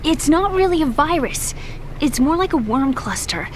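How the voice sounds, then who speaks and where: A woman voicing a vulnerable, earnest young female programmer in a video game, with a soft, hesitant, emotional delivery. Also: sits high, very animated, normal speed, a little hiss or hum. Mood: startled, anxious. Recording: music behind the voice.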